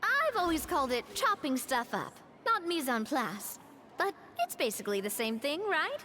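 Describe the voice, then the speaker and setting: A woman voicing a confident, sociable young lady in a video game, playful, lively and determined. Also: sits high, normal speed, a little hiss or hum, heavily acted. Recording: game music behind voice.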